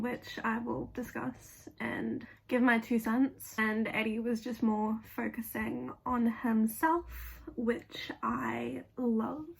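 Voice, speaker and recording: A young woman with a gravelly voice, still hoarse after an illness, giving blunt, chatty fan commentary with lots of fillers. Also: on the high side. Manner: chatty, blunt, swears casually, many fillers. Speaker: video essayist.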